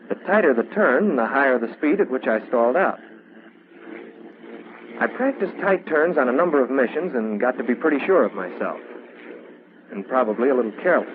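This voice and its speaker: A man's voice: mid-range voice, close to an everyday voice. A man playing a confident young American military pilot, recounting practice flights as plain-spoken first-person narration for a 1940s training film. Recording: muffled, very noisy 1940s film soundtrack.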